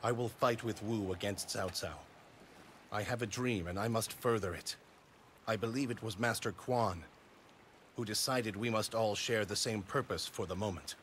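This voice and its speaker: A man playing a humble, idealistic warlord in a video game, speaking formally and with measured solemnity. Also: on the low side, fairly bright tone, slight background noise, lightly acted. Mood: resolute. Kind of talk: dubbed video game cutscene acting. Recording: light game music behind.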